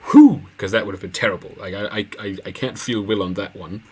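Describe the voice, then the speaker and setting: A man's voice: on the low side, brisk, a little mellow, slightly rough. A man chatting casually about a TV show, rambling and laughing often. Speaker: podcast co-host. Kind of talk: casual pop-culture chat.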